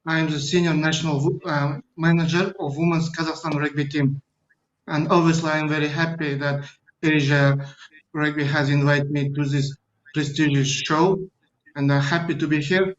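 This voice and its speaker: A man's voice: fairly high pitch, moderate pace. A man introducing himself formally as a rugby team manager, speaking evenly. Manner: formal, even delivery. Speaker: women's national team manager, guest. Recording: dull, distant video call audio.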